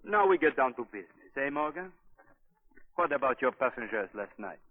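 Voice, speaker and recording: Suave, calculating police inspector, lo-fi. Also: male voice, medium pitch, very animated. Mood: coolly menacing. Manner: formal, measured, silkily menacing. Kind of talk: 1940s radio drama acting. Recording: dull lo-fi 1940s radio broadcast.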